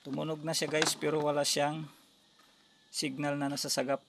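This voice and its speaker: A Filipino man talks viewers through a hands-on repair in a casual, halting way. His voice is gravelly with very little rise and fall in pitch. The amateur recording has clear background noise. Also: little pitch movement. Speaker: electronics repair tutorial host. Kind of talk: casual hands-on step-by-step demonstration. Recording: noisy amateur recording with clicks.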